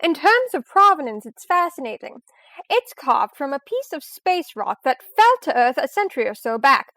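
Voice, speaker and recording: A woman playing a brisk, sardonic professor and treasure hunter in an old-time radio detective drama, tossing off confident wisecracks. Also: high voice, big pitch swings, sharp tone, no echo, heavily acted. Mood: confident explaining. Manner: quick sarcastic wisecracks, confident.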